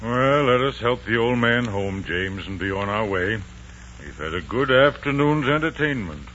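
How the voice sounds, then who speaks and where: An actor playing a dismissive, mocking gentleman in a 1940s radio drama. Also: mid-range voice, expressive, close to an everyday voice. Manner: mocking, drawn-out delivery. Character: condescending, dismissive gentleman mocking an old man. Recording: muffled 1940s radio, faint noise and hum.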